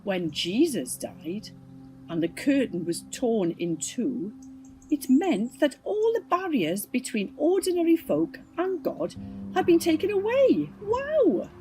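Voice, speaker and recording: Woman, children's story narrator, highish voice, very unhurried pace, some music behind. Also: sing-song, warm tone, rough recording. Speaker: storybook reader. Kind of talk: scripted children's Bible story reading.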